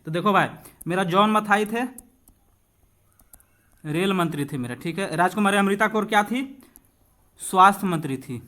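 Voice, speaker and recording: A man's voice: sits high, moderate pace, pauses often, sharp tone. A man teaching an informal exam-prep lesson, reading questions aloud and explaining them, asking and answering his own questions as he talks directly to students.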